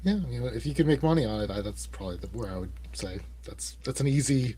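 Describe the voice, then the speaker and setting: A man's voice: mid-range voice, animated, quick pace, mellow, slightly rough. A man chatting casually as a guest in an interview. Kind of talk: casual chat.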